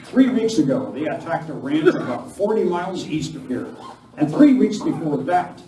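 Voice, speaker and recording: An actor playing an earnest, commanding masked lawman hero in a live Western radio play, speaking deliberately. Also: fairly high pitch, big pitch swings, dark tone. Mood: steady, grave. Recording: echoey room from far off, noisy.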